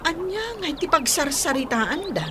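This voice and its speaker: A woman's voice: high-pitched, sing-song, moderate pace, background noise. A woman playing an assertive young daughter-in-law who defends her own ways in an Ilocano radio drama. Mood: annoyed.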